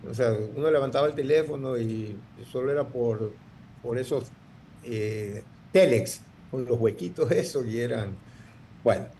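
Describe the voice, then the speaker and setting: A man's voice: mid-range voice, unhurried, dark tone, a little hiss or hum. A man, telling his story in an interview, rambling with many restarts. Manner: rambling, full of restarts and fillers. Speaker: guest entrepreneur.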